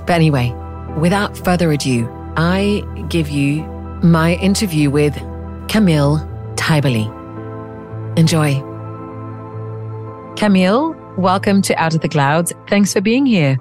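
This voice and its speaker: A woman's voice: low-pitched, expressive, unhurried, pauses often, over music. A woman hosting a podcast, reading a scripted intro and then chatting with a guest in a curious, chatty way, laughing at herself now and then. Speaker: podcast host. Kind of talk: scripted intro then casual interview chat.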